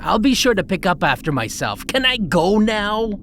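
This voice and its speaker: An actress playing a cocky, easily bored alien tourist reporter in a comedic audio drama, flippant, impatient and theatrical. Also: female voice, medium pitch, very animated, moderate pace, very noisy.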